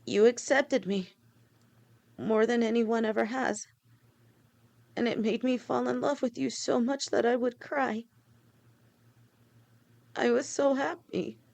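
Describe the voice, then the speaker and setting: A devoted young lamia woman with a snake's tail, played by a woman in an audio roleplay, speaking softly to the one she loves. Her voice sits a little high, and she takes her time, stammering now and then as the words come. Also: steady tone, creaky. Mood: heartfelt. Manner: soft, halting, stammering. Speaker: girlfriend in a listener roleplay.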